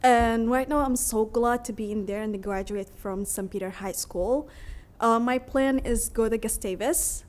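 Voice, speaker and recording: A young woman, a graduating student from Turkey whose first language is Somali, talking in halting, earnest English at a school board meeting. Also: fairly high pitch, darkish tone. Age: young adult. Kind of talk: speaking at a public meeting. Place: meeting room.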